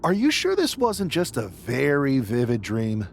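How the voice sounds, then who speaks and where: A man's voice: mid-range voice, wildly swooping pitch, measured pace, creaky, a little hiss or hum, exaggerated voice. A man playing a skeptical, puzzled wrestling teammate in casual video game dialogue.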